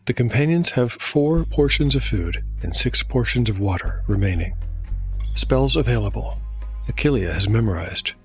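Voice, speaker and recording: A man's voice: medium pitch, expressive, moderate pace. A man narrating and hosting a fantasy audio drama podcast, reading from a script. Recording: faint music underneath. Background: faint music.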